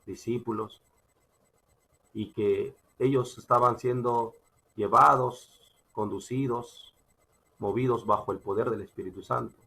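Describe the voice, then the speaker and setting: A Peruvian man preaches in a husky, creaky voice that sits a touch low. He delivers his sermon emphatically, moving in halting phrases between scripture reading and testimony, often repeating himself. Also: pushed and bright, rough recording. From Peru.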